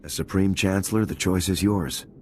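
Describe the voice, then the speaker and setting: Casting: actor for male military squad leader, sci-fi game. Low voice, steady, courteous, soldierly. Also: slight background noise, close to an everyday voice. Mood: respectful. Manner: measured, respectful soldierly delivery. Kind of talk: video game cutscene voice acting.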